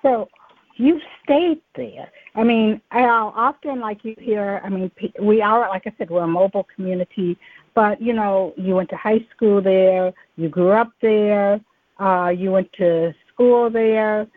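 A woman's voice: medium pitch, a little slow, no echo. Woman interviewer on a narrow phone-like line. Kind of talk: conversational interview.

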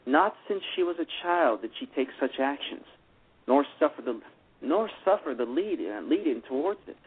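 A man narrating a radio play, reading haltingly with frequent restarts. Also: a little high, lively intonation, husky. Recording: tinny phone-line radio broadcast.

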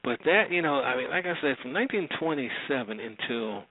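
A man's voice: medium pitch, lively intonation, fairly quick, no room sound. A man presenting old radio shows with casual, off-the-cuff commentary. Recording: very narrow, phone-like sound.

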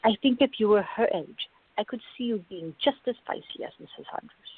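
An actress playing a calm, patient, gently firm woman who reasons in measured tones in a radio drama. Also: on the high side, slight background noise, lightly acted. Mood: reasoning. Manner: measured, placating, explanatory. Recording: tinny, band-limited, echoey room.

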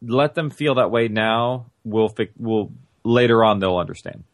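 A man's voice: lowish voice, unhurried, no echo, clean studio sound. A man being interviewed as a writer, explaining his craft conversationally and thinking aloud, often acting out imagined remarks. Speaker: guest, audio-drama writer and producer.

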